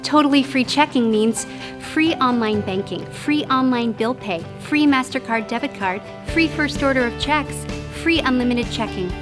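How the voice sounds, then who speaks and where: A woman's voice: sits high, normal speed. A woman delivering a scripted advertising read as an announcer. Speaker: commercial announcer. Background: music.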